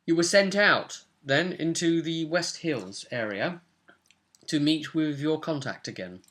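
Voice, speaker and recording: A man's voice: on the high side, a little slow, fairly bright tone. A young man, a game master, casually recapping a tabletop roleplay session at home. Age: young adult. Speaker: game master and vidcast host. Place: small room at home, indoors.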